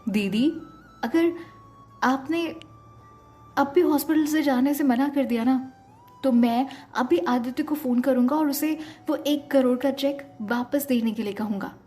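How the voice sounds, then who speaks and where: A woman's voice: high-pitched, a little slow, warm tone, soft background music. A woman voicing an insistent younger brother who scolds and pleads innocently in an audio story. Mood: cross, insistent.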